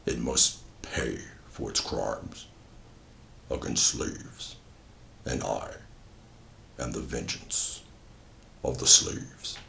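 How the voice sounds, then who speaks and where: A man voicing a hulking male swamp monster of vengeance in a deep, growling whisper, recorded in an echoey room. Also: fairly even intonation, very slow, vocal fry, long pauses, pushed and bright, a little hiss or hum, exaggerated voice. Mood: menacing.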